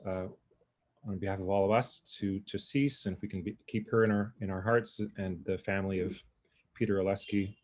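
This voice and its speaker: A man, giving informal opening remarks as an event co-host on a video call, in a halting, earnest manner. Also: deep voice, fairly even intonation, a little mellow. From North Vancouver, Canada. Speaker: symposium co-host.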